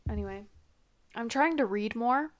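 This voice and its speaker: A woman's voice: fairly high pitch, moderate pace, no room sound. A young woman hosting her first podcast from a laptop, rambling casually in a scattered, self-deprecating way, her nose stuffed and congested. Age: young adult. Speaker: podcast host. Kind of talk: rambling casual vlog chat. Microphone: laptop built-in mic.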